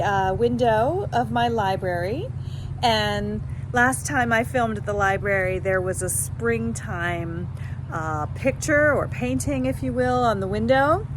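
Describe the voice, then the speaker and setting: Chatty woman vlogger, noisy home-made recording. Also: fairly high pitch. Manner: rambling, informal, self-interrupting. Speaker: book vlog host. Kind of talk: casual chatty book talk to camera.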